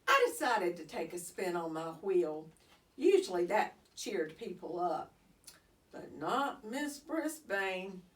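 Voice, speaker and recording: A woman narrating a children's story expressively, with deliberate, dramatic phrasing. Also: on the low side, big pitch swings. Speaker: storybook reader. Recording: slightly distant microphone.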